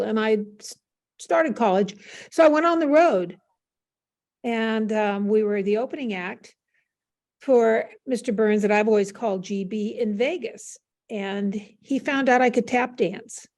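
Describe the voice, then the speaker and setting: A woman's voice: mid-range voice, darkish tone. An older woman from Southern California, a guest on a video call, reminiscing in a candid, conversational and anecdotal way. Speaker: interview guest, former performer.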